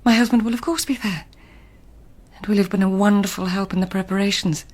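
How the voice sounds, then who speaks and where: An actress in a radio drama playing a proud palace lady, poised, formal and precise, with a breathy, husky voice. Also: mid-range voice, fairly even intonation, fairly quick, a little mellow, lightly acted. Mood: exalted, proud. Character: proud, imperious palace lady of ancient Japan.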